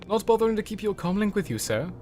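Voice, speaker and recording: A man's voice: high-pitched, sing-song, fast, a little mellow. A man playing a proud, zealous military general with a formal, speechifying manner in a dramatic comic dub. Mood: sardonic, reproachful. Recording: music behind the voice. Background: music.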